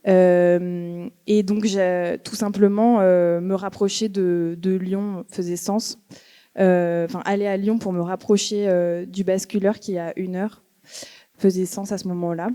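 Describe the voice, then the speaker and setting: A woman's voice: lowish voice, a little slow, clear, resonant tone. Young woman, methodical panel speaker. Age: young adult. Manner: steady, methodical account with fillers. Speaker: artist panelist. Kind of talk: panel talk. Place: museum auditorium.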